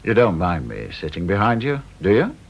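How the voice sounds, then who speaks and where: An actor playing a needling senior British official in a radio drama, dry, sardonic and mock-polite. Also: male voice, deep voice, wildly swooping pitch, moderate pace, creaky, husky, exaggerated voice. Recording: dull old radio recording.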